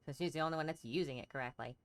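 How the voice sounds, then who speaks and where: A woman's voice: low voice, rapid-fire, a little hiss or hum. A woman giving casual commentary as she plays through a game. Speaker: game streamer.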